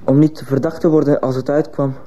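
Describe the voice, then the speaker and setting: A man's voice: fairly high pitch, level tone, fairly quick, warm tone, lightly acted. A man playing a guarded teenage schoolboy on trial, answering tersely in a radio drama. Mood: sullen, guarded. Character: guarded, sullen teenage schoolboy accused of murder. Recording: muffled, hissy old radio play recording.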